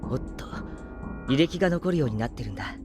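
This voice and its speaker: An actor playing a calm, perceptive young spaceship crewman with gentle, composed speech in a Japanese audio drama. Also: mid-range voice, quick pace, over music, natural voice. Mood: musing.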